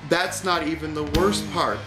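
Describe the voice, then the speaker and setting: Man playing blunt, mischievous little twins, unhurried. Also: on the high side, reverberant, music in the background, close to an everyday voice. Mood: mock pitiful. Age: child. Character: strange, mischievous lying young twin children.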